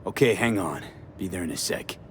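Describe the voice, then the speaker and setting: Low, laconic street mercenary. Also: male voice, expressive, brisk, very noisy. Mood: uneasy. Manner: laconic, blunt, short sentences. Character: jaded, street-smart male mercenary for hire. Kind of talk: video game voice acting.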